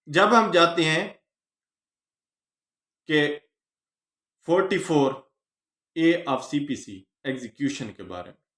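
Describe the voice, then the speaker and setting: A man with a Pakistani accent giving an explanatory law lecture, teaching phrase by phrase with pauses. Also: on the high side, a bit bright. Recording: echoey room.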